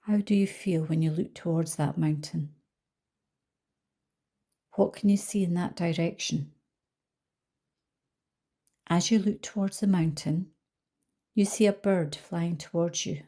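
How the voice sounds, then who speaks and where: A woman leading a guided visualisation as a coach, speaking calmly and gently with soft trailing phrases. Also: fairly low pitch, unhurried, pauses often. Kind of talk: guided meditation narration.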